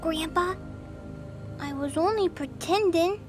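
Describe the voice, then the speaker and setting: A little winged bird girl in a mythology audio drama, played by a woman, very high in pitch and drawn out in pace. She is feisty, cheeky and pouty, a playful child. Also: slow, soft background music. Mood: meek.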